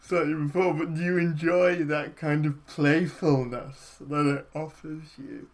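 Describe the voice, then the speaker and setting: A man with a fairly high voice leads a relaxed interview, asking reflective questions and often murmuring agreement. His talk is calm and considered. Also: unhurried. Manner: thoughtful, reflective, warm affirmations. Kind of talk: casual interview.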